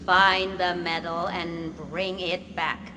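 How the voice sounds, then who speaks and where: Slow, low-voiced banished sorceress, bitter and imperious. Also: female voice, little pitch movement, background noise. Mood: stern, commanding. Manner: theatrical, slow and commanding. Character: bitter banished witch-like woman, imperious and weary. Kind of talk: dramatic video game cutscene acting. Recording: loud music under the voice.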